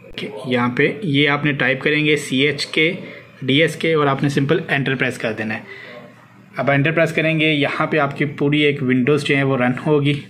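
A man's voice: a little high, a bit bright. A man giving an unscripted, step-by-step tech tutorial, instructing and repeating phrases as he demonstrates. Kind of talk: hands-on spoken tutorial, unscripted. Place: indoors at a desk with a laptop. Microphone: handheld phone behind the camera. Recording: clearly noisy homemade phone recording.

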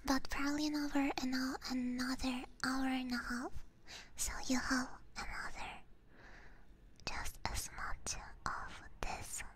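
A woman whispering softly and casually to her viewers as an ASMR livestreamer, close to a binaural microphone. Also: sits high, little pitch movement, takes it slowly, rough recording. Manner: soft, hushed whispering.

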